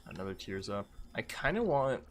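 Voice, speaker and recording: A man's voice: on the low side, very animated, moderate pace, a little hiss or hum. A man with a stuffy, congested voice giving rambling, self-mocking commentary as he streams a game. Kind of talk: casual gameplay commentary.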